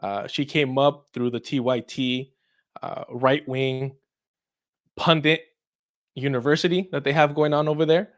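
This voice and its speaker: A man's voice: medium pitch, steady tone, measured pace, long pauses. A man hosting a casual political commentary show in an irreverent, sweary, chuckling manner. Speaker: host.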